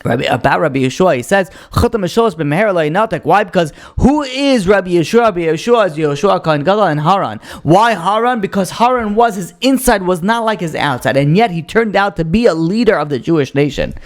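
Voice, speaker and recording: A man's voice: high-pitched, animated, fairly quick, hardly any pauses. A man, a rabbi, giving a casual Torah lesson, explaining with rhetorical questions and frequent restarts. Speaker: rabbi teaching a Torah class.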